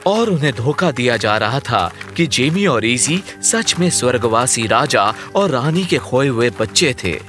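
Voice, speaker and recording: Male narrator for fairy-tale cartoon, expressive and varied scripted read, clear music bed. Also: mid-range voice, a little slow, few pauses.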